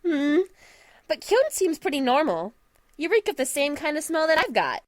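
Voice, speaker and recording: Breezy, energetic teenage girl, acted by a woman, high and very animated, folksy, audio drama. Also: normal speed, dry recording, clean studio sound. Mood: frank, friendly. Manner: energetic, folksy, laughs easily. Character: cheerful, breezy, perceptive teenage schoolgirl. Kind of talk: audio drama character acting. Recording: music behind the voice.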